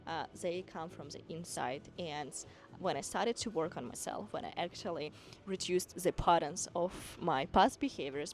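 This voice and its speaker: Earnest low-voiced woman coach from Kazakhstan. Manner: earnest, encouraging, with non-native grammar slips. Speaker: dance school owner and women's coach. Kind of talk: motivational talk to camera. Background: background music.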